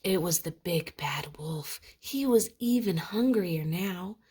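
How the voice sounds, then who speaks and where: A woman reading a children's storybook aloud with expressive, dramatic narration. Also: on the low side, takes it slowly, a little hiss or hum.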